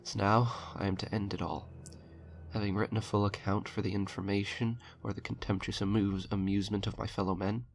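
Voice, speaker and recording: A man with a very low voice reads a horror story as a dramatic narrator. His tone is heavy with creak and fry, and music plays underneath the reading. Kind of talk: dramatic audiobook-style narration. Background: eerie background music.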